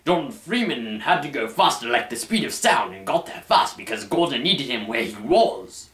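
Young man, mid-pitched, lively swooping intonation, mock-dramatic narration, theatrical host, roomy sound. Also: moderate pace. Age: young adult. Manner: theatrical, mock-formal storyteller. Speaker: host reading fan fiction aloud. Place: small indoor room at home.

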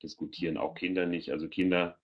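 Middle aged guy explaining stuff on a video call, deep voice, kinda muffled lo-fi headset sound. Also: little pitch movement, fast. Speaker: audio producer for children, panelist. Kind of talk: explanatory answers in an online parents' Q&A. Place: indoors at home, video call. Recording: dull, lo-fi video call audio.

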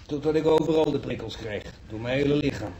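A man playing a folksy, grumbling market trader in a radio play, speaking in a halting, plaintive, colloquial way. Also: mid-range voice, dark tone, a little hiss or hum, lightly acted, rough recording. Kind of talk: Dutch radio drama acting.

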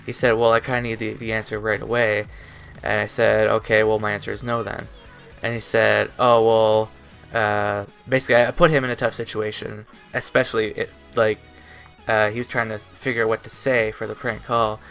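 A man's voice: fairly low pitch, flat delivery, moderate pace, creaky, fairly bright tone, clean tone. Hesitant young man rambling, music behind. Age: 20s. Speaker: YouTube vlogger. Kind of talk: casual rambling webcam vlog. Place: small bedroom, indoors.

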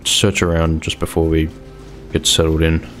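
A man playing a cautious, unsure zombie apocalypse survivor in an improvised game roleplay, speaking in a hesitant, low-key way. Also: monotone, moderate pace, soft background music. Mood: wary, practical.

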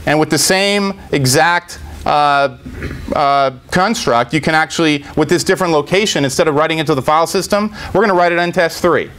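A middle-aged man giving a live software demo in an informal, step-by-step explanatory way. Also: fairly high pitch. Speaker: tech presenter. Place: indoor meetup presentation room.

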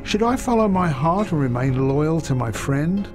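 An actor narrating as an ambitious knight in a video game, with a reflective, calculating manner. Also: male voice, on the high side, expressive, moderate pace, over music, natural voice. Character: ambitious male knight narrating his choices.

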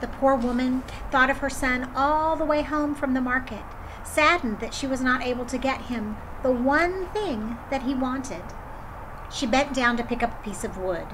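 A woman storyteller reading a children's folktale aloud in an expressive way. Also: high-pitched, moderate pace, background noise. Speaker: drama storyteller.

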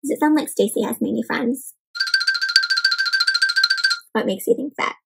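A young woman hosting an interactive read-aloud of a picture book for children, talking to them warmly as "my friends" and asking them questions. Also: on the high side, unhurried, creaky, faint music behind. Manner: animated, addresses kids as "my friends". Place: home room with bookshelf and plants.

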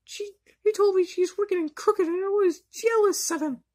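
Woman voicing an eager high-pitched little witch girl. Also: normal speed, a little mellow. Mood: determined. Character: eager, cheerful young girl novice witch. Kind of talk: amateur anime fandub.

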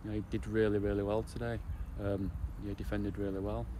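A man in his forties, a football coach, giving a post-match interview outdoors beside the pitch, talking in a halting way with many restarts and fillers. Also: flat delivery. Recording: outdoor noise behind.

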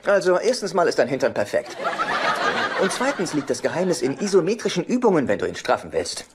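A man's voice: fairly high pitch, very animated, brisk, heavy background noise. An actor playing a fussy, needy, effeminate man in a dubbed sitcom, prissy and earnest. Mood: chirpy, earnest. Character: fussy, needy, effeminate brother, eager to please.

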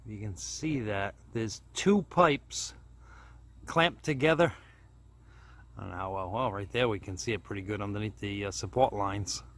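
A man's voice: on the low side, moderate pace, a bit bright. A man giving a plain-spoken, casual step-by-step narration of a hands-on DIY project as he films it. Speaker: DIY hobbyist video host.

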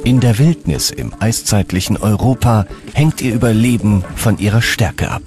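Male narrator, low register, dramatic scripted documentary read with clear music underneath. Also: moderate pace.

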